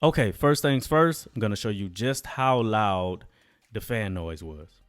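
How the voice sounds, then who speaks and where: A man's voice: lowish voice, a little slow. A man vlogging a casual product test, talking colloquially and straight to his viewers. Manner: colloquial, addresses viewers directly. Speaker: vlogger, gadget tester.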